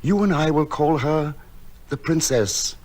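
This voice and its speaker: A man playing a gentle, lonely recluse in a radio drama, speaking and narrating in a measured, literary way. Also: a little high, animated, a little hiss or hum. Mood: tender storytelling. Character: lonely, gentle, misshapen male recluse who tends wild birds. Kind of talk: radio drama acting and first-person narration. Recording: music behind the voice.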